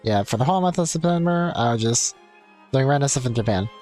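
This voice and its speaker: A man's voice: mid-range voice, animated, quick pace, a little mellow. A man livestreaming a game, talking in an informal, rambling way as he chats and reads aloud. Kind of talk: casual livestream chat, reading game text aloud. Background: game music.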